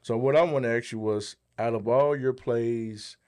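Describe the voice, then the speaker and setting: A man's voice: medium pitch, moderate pace, darkish tone. A man co-hosting a podcast, speaking in a casual, conversational way. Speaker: podcast co-host.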